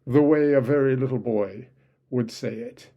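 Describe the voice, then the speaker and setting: A man's voice: mid-range voice, normal speed, lo-fi sound. A man delivering an opinion commentary monologue, full of sardonic, sarcastic asides.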